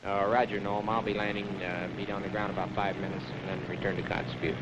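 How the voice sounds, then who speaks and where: Low-voiced male pilot on crackly radio. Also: little pitch movement. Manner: halting, procedural. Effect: two-way radio. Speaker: small-plane pilot on radio. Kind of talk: aviation radio calls. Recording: dull, noisy 1960 aircraft radio sound.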